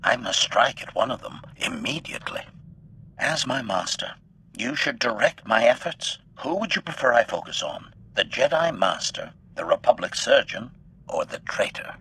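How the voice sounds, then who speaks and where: An actor voicing a cold, bloodthirsty but loyal assassin droid in a video game, speaking formally and deadpan through a robotic filter. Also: male voice, mid-range voice, moderate pace, slight background noise. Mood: cold, efficient. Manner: formal, clipped, announces each statement with a label. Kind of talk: scripted video game acting. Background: background music.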